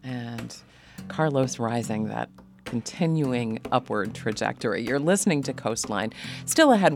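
A woman hosting a radio interview show, moving between scripted introductions and questions for a guest. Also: rumbling low.

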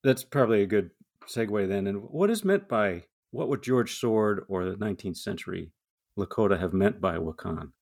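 Male podcast host, very low voice, conversational interviewer. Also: animated, moderate pace, vocal fry, a little mellow. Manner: conversational, asks questions.

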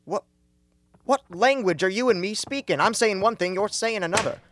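Young man playing a gruff, highish-voiced American baseball boss. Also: normal speed, fairly bright tone, slight background noise. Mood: exasperated. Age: young adult. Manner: brusque, slangy, barking. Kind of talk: radio comedy acting.

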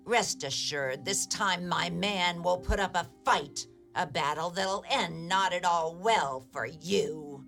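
An actress playing a wizened old ogre's wife in a radio play, sly and menacing, with deliberate archaic diction. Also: female voice, lowish voice, creaky, a bit bright, faint music behind, heavily acted. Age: older. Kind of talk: theatrical radio play acting.

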